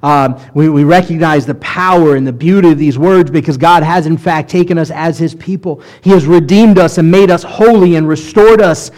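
Conversational rabbi teaching, slightly high voice. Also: male voice, normal speed, hardly any pauses, darkish tone. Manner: conversational, with fillers and restarts, reading passages aloud. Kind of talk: sermon-style teaching on scripture.

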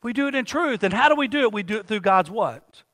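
A man's voice: high voice, lively intonation, fast talker, a bit bright, long reverb tail. A man preaching a sermon to his congregation, calling out questions for them to finish. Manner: call-and-response preaching, asks the congregation to finish lines. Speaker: pastor. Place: church sanctuary.

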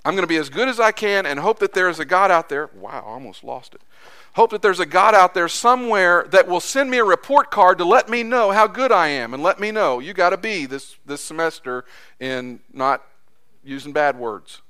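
Guy preaching in church, bright sharp voice, gets loud and high acting out lines, then quiet. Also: expressive, moderate pace, clean tone. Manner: impassioned, voice rising high when acting out quotes. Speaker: pastor. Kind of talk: sermon.

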